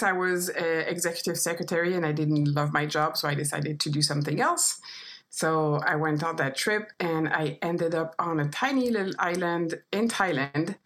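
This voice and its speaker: A woman's voice: low voice, fairly bright tone. A woman with a French accent, a guest photographer in her forties, telling her story in a chatty, casual interview. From France. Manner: chatty storytelling with fillers and restarts.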